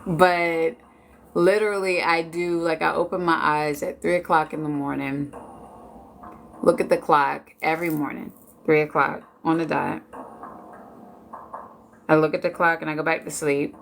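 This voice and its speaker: A woman's voice: sits low, fairly even intonation, a little slow, vocal fry, pauses often, a little hiss or hum. A woman in her late forties from Washington D.C., chatting casually and jokingly with viewers on a live stream. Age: 40s. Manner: chatty, laid-back, joking.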